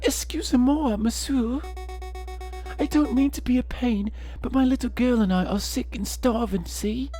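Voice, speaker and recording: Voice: mid-range voice, measured pace, warm tone, soft background music, voice pushed up, close to an everyday voice. A man voicing a poor, sickly mother in a game, begging politely. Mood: pleading. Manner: pleading, polite.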